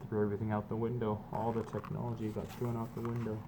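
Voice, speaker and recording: A man's voice: lowish voice, monotone, fast talker. A man reading a children's book aloud like a teacher, mixing emphatic, sing-song lines with casual explanations for students. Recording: clear background noise.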